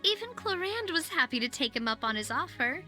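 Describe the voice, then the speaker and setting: A cheerful young townswoman from a game, high and extremely bright. She chats with bouncy, animated inflection. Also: brisk, exaggerated voice. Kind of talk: video game voice acting. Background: faint music.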